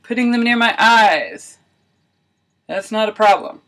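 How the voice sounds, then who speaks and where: A woman's voice: fairly high pitch, expressive, measured pace, fairly bright tone. A woman video blogger talking casually and thinking aloud, with wry, self-deprecating humour. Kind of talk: casual chatty vlog narration of a homemade experiment.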